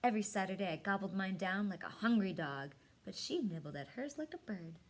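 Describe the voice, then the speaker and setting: Female storybook reader: husky tone, very lively melody, dramatic pauses, hushed emphasis. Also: mid-range voice. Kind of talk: expressive storybook narration.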